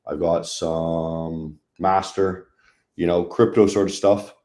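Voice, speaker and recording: A man's voice: low-pitched, fairly even intonation, slow pace, dark tone, echoey room. A man hosting a casual trading livestream, chatting loosely over charts with plenty of fillers. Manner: laid-back, chatty, many fillers.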